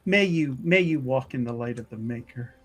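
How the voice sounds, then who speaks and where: A man voicing a pious, gracious chantry sister with formal, deliberate speech in a fantasy tabletop game. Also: mid-range voice, animated, a bit bright, soft background music, pitched below the performer's own voice, natural voice.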